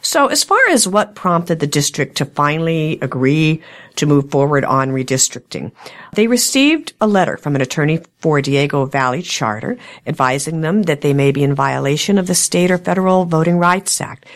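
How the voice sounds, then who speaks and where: A woman hosting a local public affairs talk show, hoarse today, speaking in a steady, measured news style. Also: sits low. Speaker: host.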